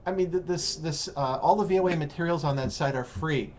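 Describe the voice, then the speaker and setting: A man with an American accent hosting a casual interview, explaining in a halting way and rephrasing as he goes. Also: fairly high pitch, a little mellow. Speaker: host of an English-learning call-in program. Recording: noticeable background noise.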